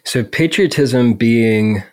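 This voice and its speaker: A man hosting a podcast interview, calmly summing up his guest's points. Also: mid-range voice, no echo. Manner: measured, sums up the guest's points. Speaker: podcast host. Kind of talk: conversational interview.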